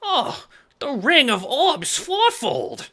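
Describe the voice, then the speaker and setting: A man voicing an earnest, dim-witted clownish gangster henchman in an audio drama, squeaky, eager and childlike. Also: wildly swooping pitch, takes it slowly, bright, forceful tone, exaggerated voice. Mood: excited.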